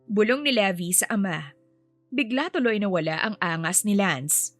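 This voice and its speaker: A woman's voice: medium pitch, expressive, moderate pace, no room sound. A woman storyteller reading scripted fiction narration. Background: music.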